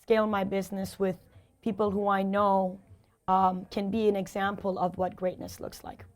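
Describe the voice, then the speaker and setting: A hoarse woman speaks as a sales coach in an interview, her voice worn from talking all day. She talks in a driven, motivational way and hammers her points home by repeating them. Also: medium pitch, little pitch movement, normal speed. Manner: emphatic, motivational, repeats key phrases. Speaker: sales and leadership coach, guest. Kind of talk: energetic interview answers.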